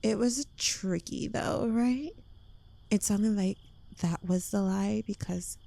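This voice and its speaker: Woman acting a slow, creaky-voiced possessive witch girlfriend. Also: mid-range voice, dark tone, no room sound. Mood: coy, playful. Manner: coy, teasing, lingering delivery dropping into breathy whispers. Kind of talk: intimate ASMR roleplay, addressed to the listener.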